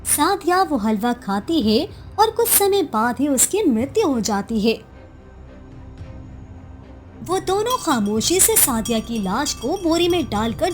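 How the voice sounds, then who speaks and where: Woman, high voice, very varied intonation, scripted dramatic storytelling, cartoon narration.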